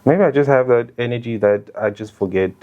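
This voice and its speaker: A woman's voice: rumbling low, fairly quick, dull audio. A woman with a South African accent hosting a casual chat, blunt and slangy in her questions and interjections. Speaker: podcast host.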